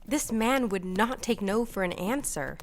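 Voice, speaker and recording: An actress playing a tough retired gunslinger in a western radio play, speaking in a guarded, understated way. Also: female voice, medium pitch, animated, unhurried, a little hiss or hum. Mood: puzzled, wary.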